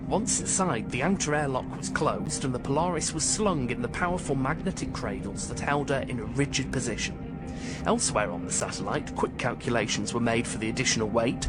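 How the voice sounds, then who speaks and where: A man's voice: medium pitch, expressive, fairly quick. A man narrating a science fiction story as a scripted audiobook. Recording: loud music under narration.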